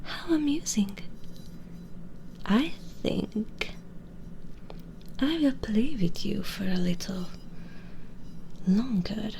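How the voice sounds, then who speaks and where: A woman voicing a menacing, vengeful female vampire in an intimate ASMR roleplay, breathy and whispery, taunting and condescending with deliberate phrasing, close to the microphone. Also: medium pitch, lively intonation, dark tone, a little hiss or hum, heavily acted. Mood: playful menace. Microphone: close binaural microphone.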